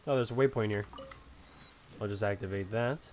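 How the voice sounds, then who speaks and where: A man's voice: fairly low pitch, brisk, darkish tone, a little hiss or hum. A man giving casual gameplay commentary as he plays. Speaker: gamer.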